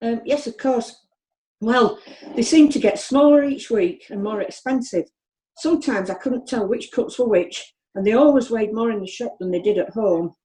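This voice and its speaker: A woman's voice: a little high, moderate pace, mellow, close to an everyday voice, low-quality recording. An actress playing a polite female shop customer giving somewhat hesitant testimony in a period courtroom play. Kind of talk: theatrical courtroom play acting.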